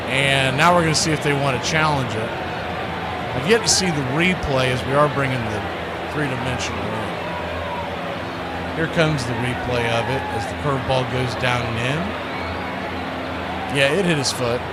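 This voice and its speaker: A man doing folksy live baseball play-by-play as stream host, moving between casual asides and excited calls. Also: mid-range voice, moderate pace, long pauses. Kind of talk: live radio-style sports commentary with casual chat. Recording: loud steady background noise.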